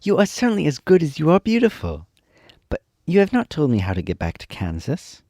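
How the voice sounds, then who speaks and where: A man's voice: huge pitch swings, fairly quick, a little mellow, no echo, clean studio sound. A man voicing a young girl who is far from home, in a raised, earnest voice. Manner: raised girlish pitch, earnest.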